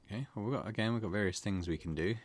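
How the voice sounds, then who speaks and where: A man's voice: on the low side, very fast. A man hosting a gaming channel with casual, hesitant, easygoing let's play commentary.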